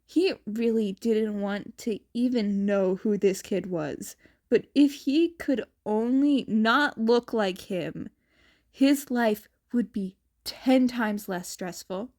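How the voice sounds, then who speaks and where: Slow-paced woman reading a story. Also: fairly high pitch, darkish tone. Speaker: fan fiction podfic reader. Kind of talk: amateur story narration with character voices.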